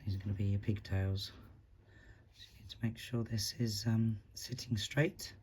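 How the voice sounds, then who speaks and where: Man, husky after the flu, low and mellow, calm craft tutorial, counting beads aloud. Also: normal speed. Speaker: beading tutorial host. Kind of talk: step-by-step craft instruction.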